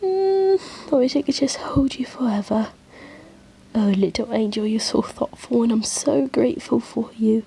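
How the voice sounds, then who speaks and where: A woman voicing a gentle, fussy male angel in a fan roleplay, with breathy, genteel, fretful endearments. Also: fairly high pitch, expressive, unhurried, voice pushed up, full character voice. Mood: grateful, doting.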